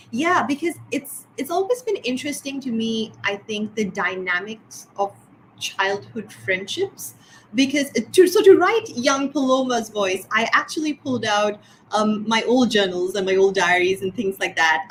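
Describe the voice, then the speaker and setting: A woman from Sri Lanka, an author answering interview questions thoughtfully, with frequent pauses and restarts over a video call. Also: fairly high pitch, a bit bright, slight background noise, rough recording. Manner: thoughtful, many fillers and restarts. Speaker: author guest.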